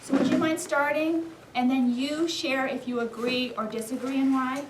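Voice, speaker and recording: A woman speaks in a fairly high voice as a teacher guiding small children. She gives instructions and questions in an encouraging manner. The room is echoey, with faint noise behind her and the mic some way off. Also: fairly even intonation, normal speed, recorded from a distance. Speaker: first-grade teacher leading a class discussion. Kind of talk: classroom instruction to young children. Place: indoor elementary school classroom.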